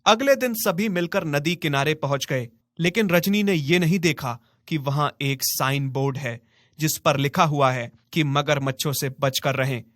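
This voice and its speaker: A man's voice: a little high, normal speed, fairly bright tone, no echo, studio quality. A man narrating a scripted moral story as a storyteller.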